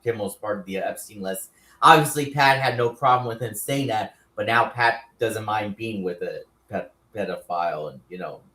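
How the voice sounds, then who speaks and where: A man's voice: fairly low pitch, normal speed, sharp tone. Mocking man in his thirties, echoey room. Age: 30s. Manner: mocking, laughs often. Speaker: panelist. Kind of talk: casual panel chat.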